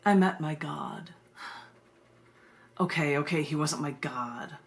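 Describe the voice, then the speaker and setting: Low-pitched, gravelly woman poet. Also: slow pace. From United States. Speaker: poet reading her own work. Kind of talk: informal introductions and expressive poetry reading.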